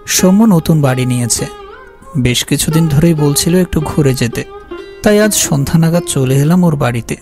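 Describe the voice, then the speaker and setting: A man's voice: a little high, mellow. Haunted young man narrating over music an actor. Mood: brooding. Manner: brooding, fearful storytelling. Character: haunted, guilt-ridden young man telling his horror story. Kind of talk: scripted dramatic horror narration.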